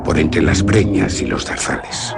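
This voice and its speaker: A man's voice: sits low, unhurried, gravelly, loud music behind the voice. A solemn young Spanish nobleman. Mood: foreboding. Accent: Spain Spanish. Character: young Castilian nobleman, earnest and brooding. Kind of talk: 1950s-style radio drama acting.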